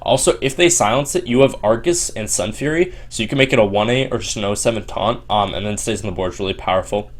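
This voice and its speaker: A young man with a slightly sore voice, casually walking through a card game deck with lots of ums and restarts. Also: on the low side. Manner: quick, filler-heavy delivery with frequent ums and restarts. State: sore voice from long streaming. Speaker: Hearthstone player explaining a deck.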